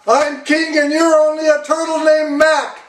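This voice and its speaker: Storybook turtle tyrant, played by a man: grandiose, commanding, high-pitched, slightly off mic. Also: monotone, a little slow, a bit bright, reverberant, far from the mic. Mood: angry, commanding. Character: pompous, boastful, bullying male turtle king. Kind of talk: theatrical children's storybook read-aloud.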